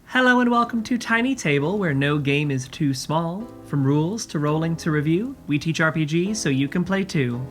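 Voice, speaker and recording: A woman's voice: low voice, animated, moderate pace, few pauses. Woman hosting a podcast, music faintly behind. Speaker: podcast host and game master. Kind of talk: scripted-sounding podcast hosting and interviewing.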